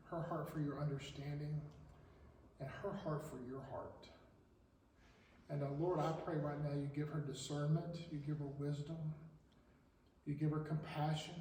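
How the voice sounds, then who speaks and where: A man prays aloud in a steady, earnest voice with a mellow, dark tone. The sound is very echoey and distant, picked up from far away in a church hall. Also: on the high side, slight background noise. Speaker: pastor. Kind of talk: spoken prayer.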